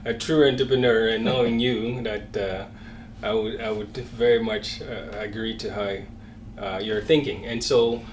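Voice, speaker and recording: A man's voice: fairly low pitch, steady tone, a little slow, a little hiss or hum. A man hosting an interview show, friendly and hesitant with frequent fillers. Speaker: show host. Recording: distant mic in an echoey room with faint music.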